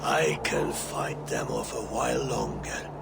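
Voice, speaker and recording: An actor playing an ailing old Viking jarl in a game drama, giving grave, weary counsel in a breathy, gravelly voice. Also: male voice, fairly low pitch, very animated, over loud music, exaggerated voice. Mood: solemn counsel. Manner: slow, grave, measured counsel. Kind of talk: dramatic video game acting. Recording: loud background noise under the voice.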